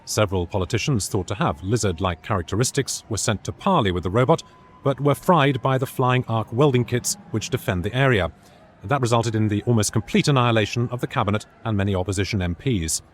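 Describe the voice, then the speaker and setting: A man's voice: lowish voice, fairly quick, slight background noise, lightly acted. A man playing an earnest radio news presenter reporting live, with a British accent, in a comedy drama. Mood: earnest, measured.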